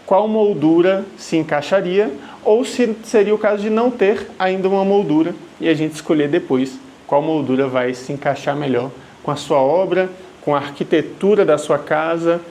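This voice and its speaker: A man's voice: on the high side, a little mellow, a little hiss or hum. A man from Salvador, Brazil, who works as a live wedding painter, answering viewer questions to camera in a friendly, reassuring way and often checking in with the viewer. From Salvador, Bahia, Brazil.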